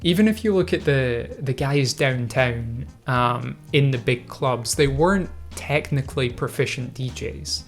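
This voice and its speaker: Hesitant man, mid-pitched, casual video commentary, light music underneath. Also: measured pace, few pauses. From Scotland. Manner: hesitant, many fillers and restarts, thoughtful. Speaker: video host.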